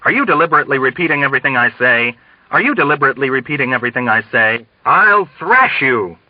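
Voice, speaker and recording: A man's voice: mid-range voice, animated, quick pace, no echo, dull audio, pitched above the performer's own voice, natural voice. A man reading a novel as an imperious, blustering male army colonel, barking and indignant. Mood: indignant, alarmed.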